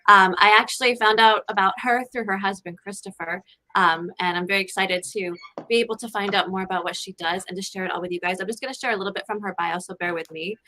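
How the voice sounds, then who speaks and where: Bright, upbeat woman co-host. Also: mid-range voice, quick pace, slight background noise, poor audio. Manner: enthusiastic, upbeat. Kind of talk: talk show introduction.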